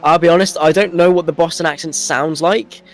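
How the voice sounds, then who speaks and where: Man, highish voice, race co-commentator, chatty, self-deprecating, rambling banter. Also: fairly even intonation, brisk, soft background music. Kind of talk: live sim-racing commentary with casual banter.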